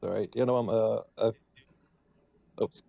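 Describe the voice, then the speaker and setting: Slow, creaky man on a muffled mic. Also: fairly low pitch, steady tone, dry recording. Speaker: player in a tabletop game. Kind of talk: casual game-table chat. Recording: muffled lo-fi mic, faint music behind.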